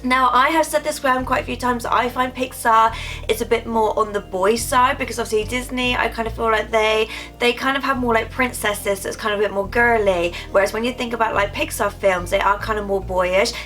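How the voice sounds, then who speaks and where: A woman's voice: on the high side, fairly even intonation, brisk, fairly bright tone, faint music behind. A woman vlogging casually, a bit nasal from a cold, chatty and rambling with fillers. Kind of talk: casual haul chat.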